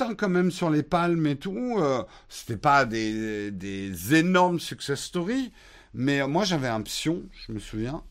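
Male streamer, slightly higher voice, unhurried and chatty, lots of hesitations while he answers chat. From France. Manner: relaxed, hesitant with fillers and restarts. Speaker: host of a morning live show answering chat. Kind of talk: casual live-stream chat reading viewer questions.

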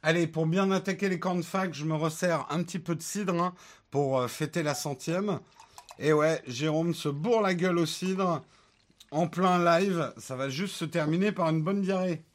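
A man's voice: fairly high pitch, normal speed. Chatty man hosting a tech livestream. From France, raised in the United States. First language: English. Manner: relaxed, chatty, many fillers, joking asides. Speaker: tech channel host answering live chat questions. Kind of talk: casual livestream chat.

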